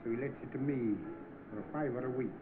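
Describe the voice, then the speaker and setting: An actor playing a smug, scheming swindler, suave and bossy in 1930s film style. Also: male voice, mid-range voice, quick pace, close to an everyday voice. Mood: smug, boastful. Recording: noisy, tinny old film soundtrack.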